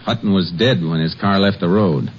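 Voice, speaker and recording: An actor playing a steady, commanding Texas Ranger in a 1950s western radio drama, talking in a terse, folksy, authoritative way. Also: male voice, sits low, moderate pace, slight background noise, lightly acted. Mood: firm, calming. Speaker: lead actor and narrator. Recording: dull old radio recording with music.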